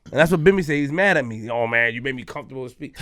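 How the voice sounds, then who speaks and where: A man co-hosting a podcast, talking in loud, animated, streetwise banter full of restarts. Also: mid-range voice, racing, no echo. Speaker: podcast co-host. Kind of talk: casual chat.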